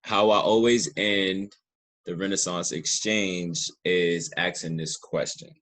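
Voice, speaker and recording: A man's voice: deep voice, steady tone, slow pace, fairly bright tone. A man hosting a casual interview in an earnest, appreciative and affirming way. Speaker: interview podcast host.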